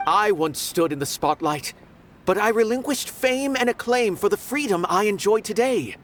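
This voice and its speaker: An actor playing an eloquent, philosophical retired art connoisseur in a game, speaking in a lofty, verbose, theatrical way. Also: male voice, high voice, lively intonation, moderate pace, a bit bright. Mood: earnest, encouraging. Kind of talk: scripted game character dialogue.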